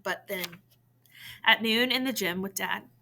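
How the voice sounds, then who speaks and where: A woman reading a novel in verse aloud, in an expressive storytelling style. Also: medium pitch, a little slow, creaky, slight background noise.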